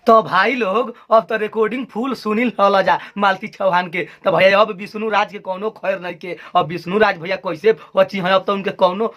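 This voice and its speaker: A man's voice: high-pitched, steady tone, moderate pace, hardly any pauses, a bit bright. A man with a Bhojpuri accent hosting an informal video news commentary, speaking straight to his viewers. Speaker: video channel host.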